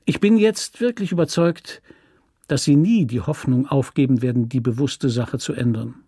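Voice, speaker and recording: A man's voice: mid-range voice, normal speed, dark tone, husky, no room sound, clean studio sound. A man voicing an evasive, mocking governor in a German radio story, formal, courteous and condescending. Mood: politely mocking. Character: smooth, mocking, evasive male state governor.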